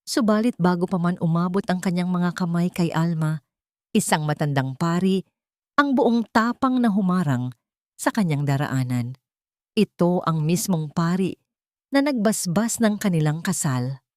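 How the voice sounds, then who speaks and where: A woman with a Filipino accent narrating a scripted story in a measured, formal and dramatic way. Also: on the low side, expressive, a little mellow, no room sound, clean studio sound.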